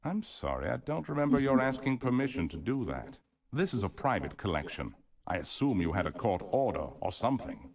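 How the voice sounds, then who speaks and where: An actor playing a polite but guarded museum collector in a video game, courteous and evasive. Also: male voice, brisk. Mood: cool. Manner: polite, evasive, lively pitch.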